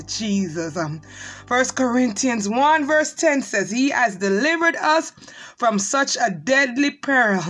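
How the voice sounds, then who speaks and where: A woman's voice: on the high side, a bit bright. A woman leading fervent spoken prayer, reciting scripture and pleading with repeated exclamations of praise. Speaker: prayer leader.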